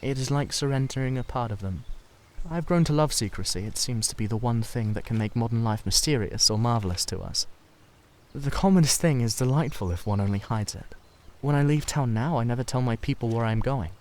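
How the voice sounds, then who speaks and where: A man voicing a sensitive, secretive young painter in a dramatised audiobook, earnest and halting as he confides. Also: medium pitch, a little mellow, close to an everyday voice. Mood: confiding, sheepish.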